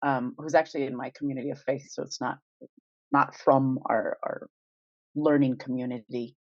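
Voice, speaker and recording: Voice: medium pitch, steady tone, moderate pace, darkish tone, dry recording. A podcast co-host with a mid-range voice talks casually, working through ideas aloud in winding, lightly amused questions. The sound is dry and close, with a slightly dull top end. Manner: thinks aloud in rambling questions, chuckling lightly.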